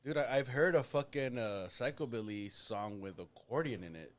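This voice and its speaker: A man's voice: medium pitch, expressive, normal speed. Loose, swearing man guest. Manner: loose, swears a lot, "dude". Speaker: podcast guest, band member. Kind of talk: casual chat.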